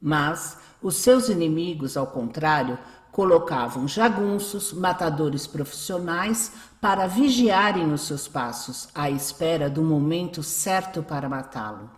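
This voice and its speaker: A woman's voice: deep voice, animated, unhurried, hardly any pauses. A Brazilian woman narrating a scripted radio drama. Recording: echoey, faint music behind.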